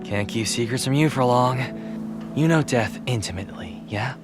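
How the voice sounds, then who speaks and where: A man's voice: mid-range voice, moderate pace, lightly acted. Actor as obsessive death god for audio drama: mid-range male voice, brooding and confrontational, music bed. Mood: probing. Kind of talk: scripted audio drama acting. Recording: music under the voice.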